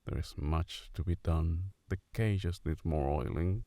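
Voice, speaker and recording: A man playing a macabre, doting gothic family patriarch in an ASMR roleplay, deep and gravelly, with an unhurried deadpan delivery. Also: no echo. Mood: deadpan, busy.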